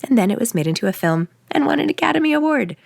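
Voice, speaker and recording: A woman's voice: high voice, sing-song, very fast, gravelly, a little mellow, no room sound, clean studio sound. A middle-aged woman with an American accent, an author talking casually and reading aloud in the first person. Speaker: author and podcast host.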